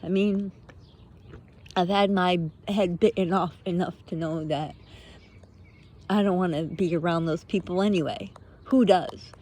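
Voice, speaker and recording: Slow-talking woman with a mellow voice. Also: lowish voice, steady tone. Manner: earnest, halting short phrases, rhetorical questions. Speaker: motivational speaker, vlogger. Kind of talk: unscripted spiritual pep talk.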